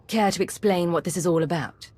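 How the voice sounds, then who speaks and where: Commanding female agent for a game, woman acting: cool menace, firm, slightly low voice, close dry sound. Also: brisk, a little mellow, no room sound, lightly acted. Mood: cool threat. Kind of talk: video game character acting.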